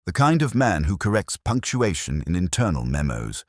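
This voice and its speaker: Male narrator as audiobook detective's client, earnest worried gentleman, very deep voice, formal, emphatic. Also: very animated, moderate pace, no echo, clean studio sound. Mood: earnest, insistent. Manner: formal, emphatic witness account.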